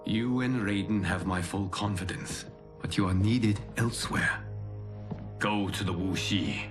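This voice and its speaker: A man playing a grave, authoritative guardian lord in a video game, speaking in a solemn, measured, commanding way. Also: fairly low pitch, over music, close to an everyday voice. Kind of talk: dramatic video game cutscene acting.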